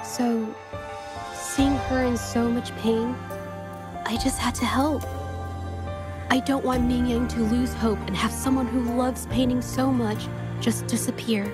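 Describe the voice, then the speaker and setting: An actress voicing a gentle, earnest young woman painter in a video game, speaking softly and sincerely. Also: fairly high pitch, fairly even intonation, measured pace, over music, close to an everyday voice. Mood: earnest, tender. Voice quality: soft. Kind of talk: video game story dialogue.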